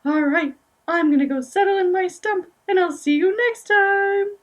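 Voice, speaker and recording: A woman voicing a gentle little bird puppet who talks slowly and softly. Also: extremely high pitch, far from the mic, pitched above the performer's own voice. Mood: sweet.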